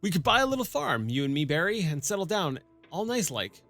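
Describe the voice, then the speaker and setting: A man voicing an eager, simple young peasant lad in a soft, coaxing tone while reading a story aloud. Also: high-pitched, big pitch swings, fairly quick, soft background music, full character voice. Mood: dreamy.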